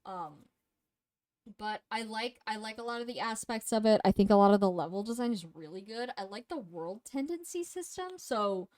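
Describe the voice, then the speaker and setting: Young woman co-host, casual rambler with a loose, profane delivery, slightly sniffly and hoarse, dry close sound. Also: medium pitch, animated, moderate pace, darkish tone, no echo. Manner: rambling and profane, with many fillers. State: sniffly, losing her voice. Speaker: co-host reviewing games. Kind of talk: casual chat.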